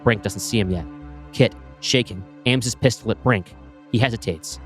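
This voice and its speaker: A man reading his screenplay aloud, with brisk scene-setting narration. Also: fairly low pitch, faint music behind. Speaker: writer pitching a screenplay.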